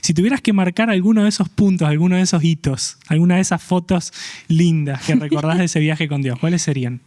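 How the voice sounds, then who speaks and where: A man hosts a youth church interview, speaking in a friendly, joking way with halting fillers. His voice sits a bit high for a man. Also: warm tone. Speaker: host.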